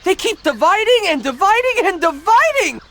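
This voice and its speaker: A woman voicing a small, excitable young cookie character in a game cutscene, dramatic and exclamatory. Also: very high voice, moderate pace, slight background noise, heavily acted. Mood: amazed. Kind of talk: animated game cutscene voice acting. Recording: music behind the voice.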